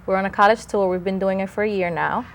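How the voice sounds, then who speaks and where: An actress guest from the Dominican Republic speaks in a mid-pitched voice. Her casual talk is enthusiastic and a little rambling. She sounds relaxed on a talk show set. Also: quick pace, a little hiss or hum. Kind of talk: casual interview chat.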